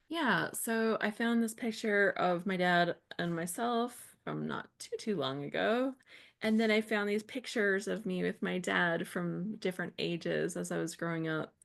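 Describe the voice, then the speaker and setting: A woman talks casually on a live craft stream. Her voice sits low and has a gravelly edge. She laughs often and trails off while sorting through her materials. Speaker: co-host of a live scrapbooking crop.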